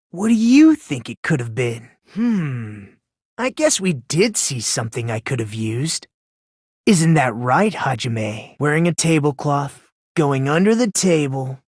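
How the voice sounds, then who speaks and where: A man voicing an unsettlingly cheerful, self-deprecating young student in a video game, soft-spoken, polite and theatrical. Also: a little high, slightly rough, dry recording, clean studio sound, heavily acted. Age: young adult. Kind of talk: English video game voice acting.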